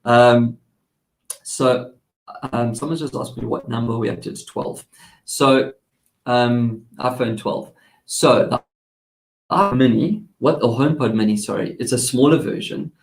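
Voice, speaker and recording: A South African man hosts a relaxed tech chat with a gravelly edge to his voice. He talks in a rambling way, full of restarts and asides. The sound is echoey, like a bare studio room. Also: mid-range voice, unhurried. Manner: chatty, rambling, with many restarts. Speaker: tech segment host. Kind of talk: casual chat.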